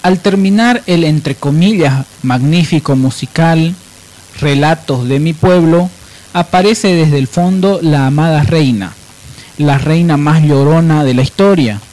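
Male radio host, fairly high voice, sardonic and emphatic commentator. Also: a little slow, a little mellow, rough recording.

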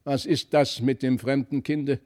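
A man's voice: mid-range voice, steady tone, measured pace, darkish tone, close to an everyday voice. Man putting on a grumbling, angry pedantic tutor. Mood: suspicious. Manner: grumbling, buzzing, rolling snarls. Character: pedantic, angry tutor, secretly a buzzing gnome fly.

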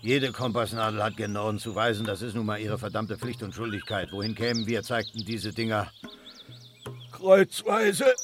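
An actor playing a gruff, pompous, irritable middle-aged British colonel of colonial days in a comic radio play. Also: male voice, lowish voice, lively intonation, normal speed, faint music behind, close to an everyday voice. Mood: gruffly dismissive.

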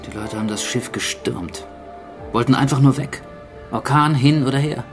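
An actor plays a male survivor in a radio drama. He tells of catastrophe gravely and deliberately in a mid-pitched voice. Soft music runs behind him. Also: lightly acted. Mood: grim, ominous.

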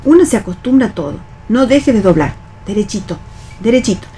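A woman's voice: medium pitch, expressive, fairly quick, dark tone, natural voice. A veteran factory woman, played by an actress in an Argentine radio drama. She speaks colloquially in a middle register, resigned and admonishing, as if used to putting up with everything. Some noise sits behind the voice. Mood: nervous, commanding. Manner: colloquial, admonishing, world-weary. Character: resigned, bossy veteran female factory worker, weary and cautious. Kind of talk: radio read theatre.

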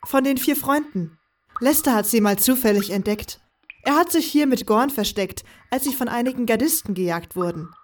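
A woman's voice: on the high side, animated, moderate pace, recorded from a distance, a little hiss or hum, close to an everyday voice. A woman playing a teasing, confident, carefree young woman who sneaks about, in a fan audio drama. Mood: matter-of-fact. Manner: breezy, teasing.

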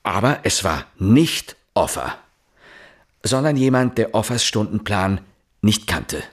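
An actor playing an insistent, probing police inspector, questioning deliberately in a naturalistic radio drama. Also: male voice, fairly low pitch, big pitch swings, full character voice. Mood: deliberate, building.

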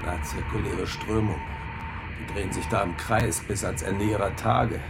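An actor playing a brash, sardonic submarine officer in a German radio drama, bantering and swearing in disbelief. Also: male voice, fairly low pitch, fairly even intonation, normal speed, vocal fry, over loud music. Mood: exasperated.

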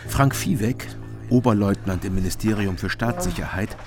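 A man's voice: fairly low pitch, very animated, moderate pace, over music. An actor playing a sober, laconic private detective in his late thirties in a radio crime drama, dry and matter-of-fact. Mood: detached, reflective. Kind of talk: radio crime drama, first-person narration and dialogue.

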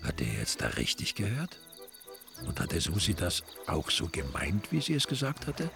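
A man narrating a crime story in a dry, wry, deadpan way. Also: sits low, moderate pace, vocal fry, faint music behind.

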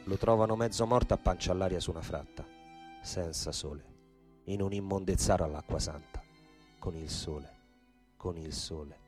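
A man's voice: low-pitched, moderate pace, music in the background. A man narrating a literary story as an actor in radio theatre.

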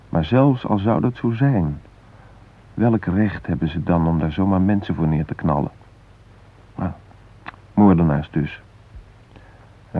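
An actor playing a frightened hostage father in a radio play, musing in a halting, wry, vulnerable way. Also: sits low, slightly rough. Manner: reflective, halting, wryly self-mocking. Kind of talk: radio drama interior monologue and dialogue. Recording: muffled phone-like band.

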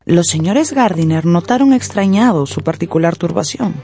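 A woman narrating a radio drama in a scripted, dramatic style, with deliberate, suspenseful phrasing. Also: on the low side, lively intonation, dark tone.

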